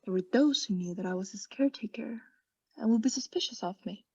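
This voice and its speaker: Breathy, unhinged caretaker played by a young woman. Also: on the high side, a little mellow, dull audio. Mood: smug, unhinged. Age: young adult. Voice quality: breathy, often dropping to a whisper. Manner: halting, insistent, self-justifying. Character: guilty, unhinged caretaker, anxiously feigning calm politeness. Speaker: student actor. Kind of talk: radio play acting.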